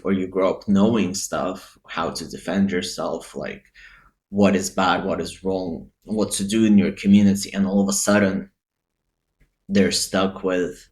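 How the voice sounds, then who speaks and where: A man who grew up in Eastern Europe, giving casual, reflective opinion commentary and thinking aloud. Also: sits low. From Eastern Europe, Lithuania and Ukraine.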